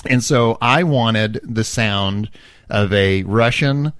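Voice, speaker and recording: A man's voice: lowish voice, takes it slowly, fairly bright tone, clear, resonant tone, dry recording. Rambling male podcast co-host, dry sound. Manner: rambling, with many restarts and chuckles. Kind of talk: casual chat.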